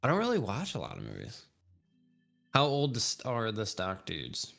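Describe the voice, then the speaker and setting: A man's voice: fairly low pitch, huge pitch swings, normal speed, vocal fry, fairly bright tone, faint music behind. A man in his thirties chatting casually on a livestream, rambling and laughing easily. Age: 30s. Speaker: co-host.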